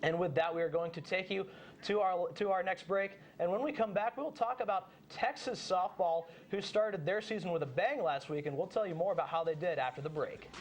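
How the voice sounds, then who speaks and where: A young man with a slightly high-pitched voice hosts a sports preview. He delivers his commentary with occasional restarts and stumbles. Faint noise sits behind his voice. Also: brisk. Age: young adult. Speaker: sports show host.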